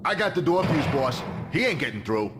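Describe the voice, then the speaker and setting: High, jumpy street crook. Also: male voice, sing-song, brisk, background noise. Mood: nervous bluster. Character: jumpy male street criminal, panicky and blustering. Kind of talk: video game cutscene acting. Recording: loud music behind the voice.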